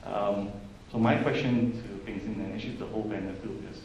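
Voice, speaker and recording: A man's voice: fairly low pitch, fairly even intonation, brisk, a little mellow, slight background noise. A man, putting questions to a panel in a jokey way. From Malaysia. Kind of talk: panel discussion. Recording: echoey hall, distant mic.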